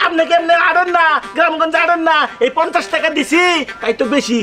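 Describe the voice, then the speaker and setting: A man's voice: very high-pitched, quick pace, pushed and bright. A man playing a stingy, brash village son-in-law in a comedy skit, argumentative and dismissive.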